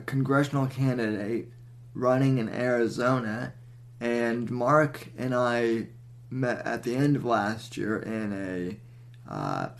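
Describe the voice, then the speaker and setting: A man hosting a podcast, introducing and interviewing a guest, with frequent fillers and pauses. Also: on the low side, creaky. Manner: halting, with many fillers. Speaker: podcast host.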